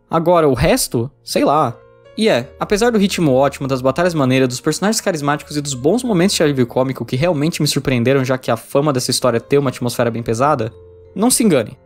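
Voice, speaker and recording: A Brazilian man narrating a scripted review as a video essayist, informal and opinionated. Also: fairly high pitch. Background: background music.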